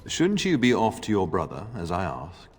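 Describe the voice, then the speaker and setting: An actor playing a middle-aged noble lord and father in a video game, fond but grave, speaking in a formal, measured way. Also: sits low, huge pitch swings, slightly rough, full character voice. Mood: fond, firm. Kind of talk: video game cutscene acting. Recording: long reverb, music underneath.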